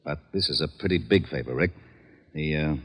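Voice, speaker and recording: An actor playing a gruff, harried police lieutenant in a 1940s radio drama, blunt and exasperated. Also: male voice, very deep voice, fairly quick. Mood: troubled.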